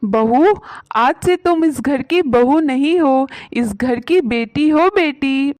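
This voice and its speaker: A woman voicing a kind, gentle, ailing older mother-in-law in a storybook tale. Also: sits high, takes it slowly, no echo. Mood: affectionate. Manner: gentle, affectionate.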